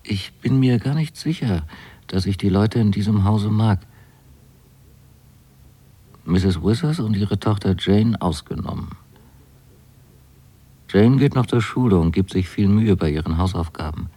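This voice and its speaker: Dark husky young guy, wary lodger, hesitant confiding monologue in a radio play. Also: lowish voice, a little slow, pauses often. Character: uneasy, watchful young son lodging among strangers. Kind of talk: intimate radio drama monologue, spoken as a letter.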